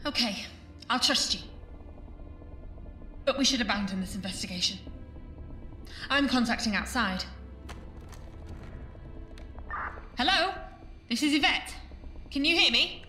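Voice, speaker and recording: Female paranormal broadcaster, acted by a woman, bright forceful voice, emphatic, exasperated, echoey audio drama sound. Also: on the high side, animated, normal speed, pauses often. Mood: urgent. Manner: emphatic, outspoken. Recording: echoey room, distant mic, faint music.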